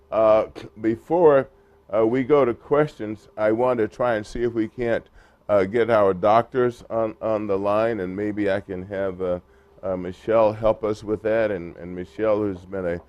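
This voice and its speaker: A man speaking at a press conference for a retired players group, halting, with many fillers and restarts. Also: lowish voice, darkish tone, no echo. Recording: muffled lo-fi recording.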